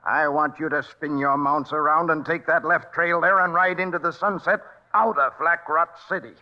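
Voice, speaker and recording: A man's voice: fairly high pitch, steady tone, normal speed, close to an everyday voice. An American actor playing a smug, menacing outlaw gang leader who taunts his way through an old radio western. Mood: smug threatening. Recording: muffled old radio broadcast recording.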